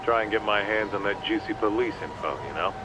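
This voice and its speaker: An actor playing a casual, streetwise buddy with laid-back, slangy talk in a video game phone call. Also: male voice, on the low side, fast talker, close to an everyday voice. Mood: casual, conspiratorial. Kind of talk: video game cutscene acting. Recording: noisy outdoor street ambience.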